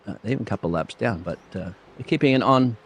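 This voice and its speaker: A man's voice: lowish voice, expressive, quick pace, breathy edge. A man co-hosting a live broadcast with casual, bantering commentary.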